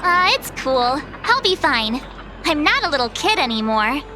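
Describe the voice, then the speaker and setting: A woman's voice: sits high, big pitch swings, normal speed, background noise. A woman voicing a perky, plucky, easily flustered young woman in a video game, with a casual, hesitant, bubbly manner. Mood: eager, plucky. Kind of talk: scripted video game voice acting.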